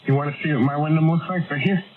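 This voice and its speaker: A man's voice: a little high, steady tone, rapid-fire. An older man chatting on the air as a ham radio operator, rambling with many fillers. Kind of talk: casual on-air chat. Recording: tinny radio signal under loud static.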